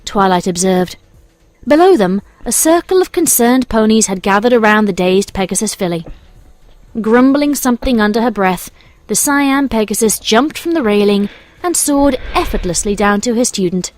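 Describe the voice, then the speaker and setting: A woman's voice: mid-range voice, lively intonation, moderate pace. A woman reading scripted storybook narration as the narrator.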